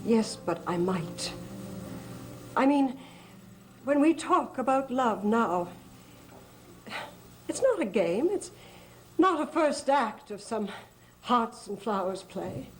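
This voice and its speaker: An actress playing a mysterious, guilt-torn woman in a gothic soap opera, speaking in a theatrical, halting, confessional way. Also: a little high, animated, slight background noise. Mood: anguished, confessional.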